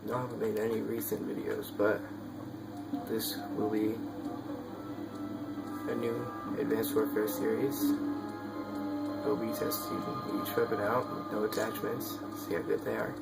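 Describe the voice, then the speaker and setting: A man's voice: on the low side, flat delivery, measured pace, gravelly, pauses often, loud music behind the voice, rough recording. A man giving casual, offhand gameplay commentary, muttering asides to himself in a home recording with game sounds behind him. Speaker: gaming video creator.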